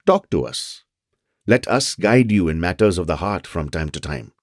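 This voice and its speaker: An actor in an audio drama playing an earnest, fatherly psychologist who talks in measured, formal, wordy phrases. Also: sits low, lively intonation, no echo, studio quality. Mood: grave, earnest. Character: fatherly, earnest psychologist mentor, called Doc.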